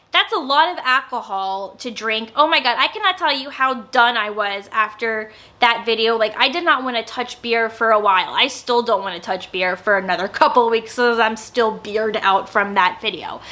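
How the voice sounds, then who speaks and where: Loud, bright-voiced young woman. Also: on the high side. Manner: naturally loud, with stammers and many filler words. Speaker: YouTuber. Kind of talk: casual sit-down Q&A vlog. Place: indoors, sit-down filming setup.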